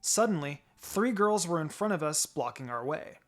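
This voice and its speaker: Guy reading fanfic out loud, kinda high voice, faint music behind, story narration. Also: lively intonation, normal speed. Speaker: fan fiction reader. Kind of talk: dramatic first-person story narration. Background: background music.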